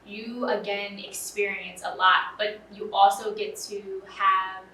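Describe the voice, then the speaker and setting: Bright-voiced young woman, roomy off-mic sound. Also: mid-range voice, little pitch movement, slow pace, far from the mic, a little hiss or hum. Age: young adult. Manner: earnest, filler-heavy with frequent "um" and "you know". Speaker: interview guest, student. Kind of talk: casual interview talk. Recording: roomy, off-mic with faint ambience.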